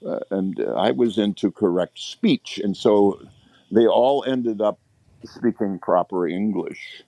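Opinionated man with a low voice. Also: gravelly, mellow, dry recording. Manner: opinionated, halting with repeated restarts. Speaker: podcast co-host. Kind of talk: casual panel discussion.

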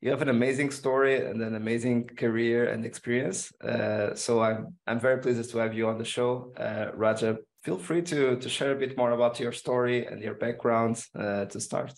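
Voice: medium pitch, steady tone, moderate pace, gravelly, few pauses. A podcast host doing an interview, speaking in hesitant phrases with some repeated words.

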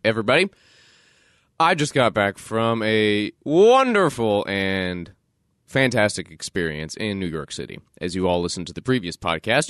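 A man's voice: fairly low pitch, no echo, clean studio sound. Stuffed-up nasal man hosting a podcast. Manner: animated, playful self-promotion. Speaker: podcast host. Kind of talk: casual solo podcast talk.

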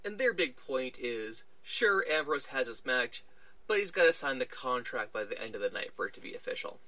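A man's voice: mid-range voice, sing-song, moderate pace, bright, forceful tone, no echo. A man hosting a casual podcast, chatting through a wrestling show recap and acting out little quoted lines along the way. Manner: chatty, retelling events with little quoted voices. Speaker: podcast host.

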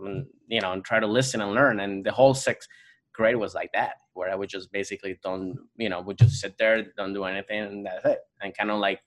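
A man from Venezuela whose first language is Spanish, chatting as a podcast guest. His voice sits on the low side. He rambles, circles back and fills gaps with "uh" and "you know". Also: low-quality recording. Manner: rambling, many fillers and restarts. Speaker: guest comedian. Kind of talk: casual podcast chat.

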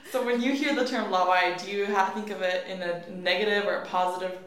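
A woman's voice: mid-range voice, fairly even intonation, a little fast, fairly bright tone. A woman co-hosting a podcast, asking curious questions and reading scripted lines. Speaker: podcast co-host. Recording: echoey room.

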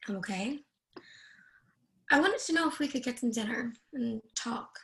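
An actress playing a coaxing, quietly confident woman in a dramatic screenplay reading. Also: fairly high pitch, animated, moderate pace, slight background noise, poor audio. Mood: soft, insistent. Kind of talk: dramatic table read.

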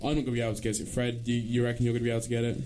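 A man's voice: medium pitch, monotone, very fast, darkish tone, slight background noise. A man hosting a casual student radio chat, cheerfully quizzing his co-host with questions. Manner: chatty, playful questioning.

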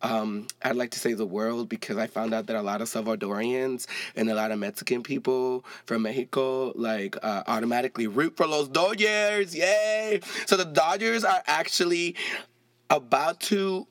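Young man, excitable podcast host, chatty and profane, dry close sound. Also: mid-range voice, normal speed, dry recording. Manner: excitable, chatty, swearing freely. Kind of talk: casual solo podcast chat.

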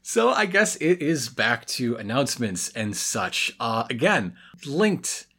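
A man's voice: mid-range voice, very animated, takes it slowly, bright, forceful tone, slightly rough. Male podcast host, mid-range voice, conversational, wry and a little hesitant as he rambles along. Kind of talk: casual chat.

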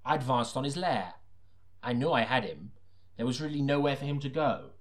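A man's voice: medium pitch, lively intonation, fairly quick, natural voice. A man playing an earnest, melodramatic detective who narrates his own crime story as an audiobook. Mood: urgent, dramatic. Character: deadpan melodramatic hard-boiled detective narrator, secretly a sinister killer.

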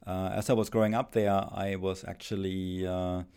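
Low, flat German-accented man. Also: smooth. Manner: halting, many fillers and restarts. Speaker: philosophy professor, guest. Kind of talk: thoughtful academic conversation.

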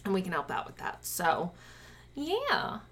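A woman's voice: fairly low pitch, wildly swooping pitch, measured pace, fairly bright tone. A woman co-hosting a podcast in casual, chatty conversation, laughing often and rambling a little. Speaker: podcast co-host.

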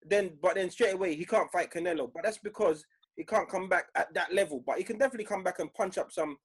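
A man's voice: high voice, fast, slightly rough. A man, co-hosting a podcast, joking and arguing his point in casual banter. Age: 30s. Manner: jokey, argues his point patiently. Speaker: podcast co-host.

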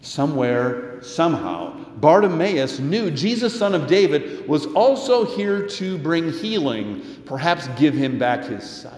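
A man preaching a sermon as a pastor, with a measured, emphatic delivery and deliberate pauses. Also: a little high, big pitch swings, a little mellow. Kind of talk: sermon with scripture and commentary quotes. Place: large echoing church or hall. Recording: echoey hall with long reverb.

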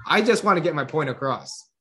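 A man chatting casually on a podcast, animated, laughing often and tripping over repeated words. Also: a little high, brisk. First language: English. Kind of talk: casual chat.

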